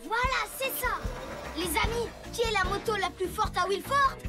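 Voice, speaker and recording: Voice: extremely high pitch, normal speed. An actor voicing an eager, encouraging young boy motorbike in a French-dubbed children's cartoon, earnest and rallying. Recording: loud music behind.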